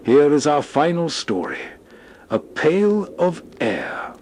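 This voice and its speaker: A man's voice: fairly high pitch, sing-song, slow, a little mellow, a little hiss or hum. A man reading a scripted, nostalgic late-night introduction as a radio show host.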